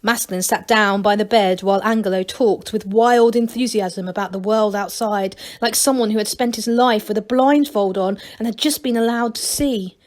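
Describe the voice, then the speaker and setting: A woman reading a children's novel aloud in an expressive storytelling style. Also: medium pitch, moderate pace, few pauses. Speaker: story reader for a school.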